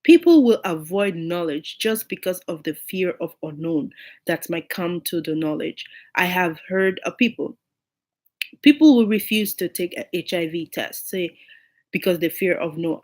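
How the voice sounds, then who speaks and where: A woman with a cold and a hoarse, unclear voice, giving an informal sermon to her online listeners and repeating key phrases for emphasis. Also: fairly low pitch, lively intonation, normal speed, a little mellow. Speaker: online preacher.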